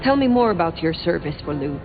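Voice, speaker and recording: Muffled, aloof vampire sheriff. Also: female voice, lowish voice, very animated. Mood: businesslike. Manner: measured, formal, cutting. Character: cool, formal, aloof female vampire sheriff, an ancient wanderer. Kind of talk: video game character dialogue. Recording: muffled, phone-like game audio under music.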